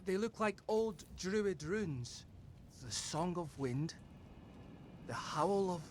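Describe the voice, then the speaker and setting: A man's voice: high voice, lively intonation, takes it slowly, slight background noise. A man playing an earnest young nobleman in a video game, speaking carefully as an eager companion on a quest. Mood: curious, uneasy. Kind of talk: dramatic video game dialogue.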